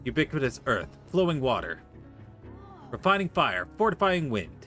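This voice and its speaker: A composed, scholarly alchemist from a fantasy game , acted out by a man reading aloud. The delivery is formal and measured, with a solemn weight. Music sits faintly behind the words. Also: mid-range voice, sing-song, bright, forceful tone. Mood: solemn incantation.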